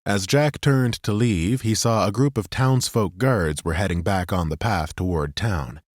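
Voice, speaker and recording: A man narrating a fantasy audiobook in a steady, even storytelling manner. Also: fairly low pitch, measured pace, no room sound, clean studio sound.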